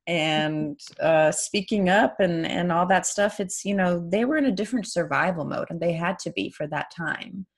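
A woman's voice: fairly low pitch, normal speed, hardly any pauses. A woman in her forties whose first language is Farsi, hosting a casual talk and laughing often as she speaks. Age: 40s. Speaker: author and podcast host. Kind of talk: casual conversational interview.

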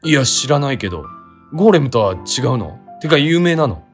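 Actor as sceptical young man, mid pitch, casual questioning, naive, comic radio drama, soft music underneath. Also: big pitch swings, brisk, natural voice. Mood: curious. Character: doubtful, naive young man tagging along with friend.

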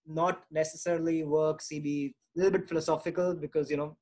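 A man's voice: on the high side, level tone, fast, darkish tone. A man with an Indian accent hosting a casual interview in a chatty, informal way. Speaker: podcast host.

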